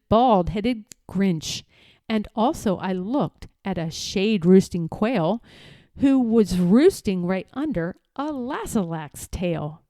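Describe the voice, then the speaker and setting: A woman reading a children's story aloud in a theatrical, rhythmic way, as expressive storytelling. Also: mid-range voice, dry recording, studio quality. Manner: theatrical, rhythmic rhyming delivery. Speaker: storybook reader.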